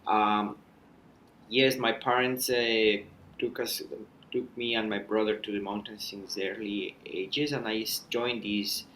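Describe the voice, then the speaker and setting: A man, a mountain guide talking as a podcast guest in a measured, thoughtful way with frequent restarts. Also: fairly low pitch, steady tone, fairly bright tone, slight background noise, poor audio. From Ecuador. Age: 30s. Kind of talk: thoughtful conversational storytelling.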